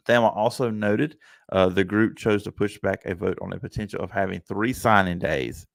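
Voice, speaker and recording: A man's voice: low-pitched, gravelly, a bit bright, no echo. A man hosting a solo sports podcast, rambling casually with plenty of filler words and strong opinions. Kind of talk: solo sports talk monologue.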